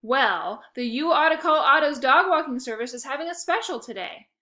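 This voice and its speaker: A woman's voice: sits high, lively intonation, normal speed, pushed and bright, pitched above the performer's own voice. A woman voicing a cocky schoolboy hustler who talks in a brash, salesy way.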